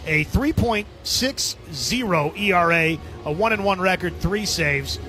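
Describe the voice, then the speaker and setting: A man calling baseball play-by-play as live radio sports commentary, with a husky edge to his voice. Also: high-pitched, expressive, unhurried, pushed and bright, faint music behind.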